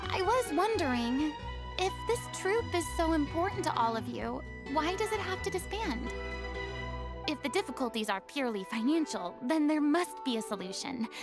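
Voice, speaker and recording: High-voiced theatrical young former star. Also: female voice. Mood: gently encouraging. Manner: theatrical, refined, polite. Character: proud, theatrical young female former star, awkwardly sincere. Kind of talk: video game character dialogue. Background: music.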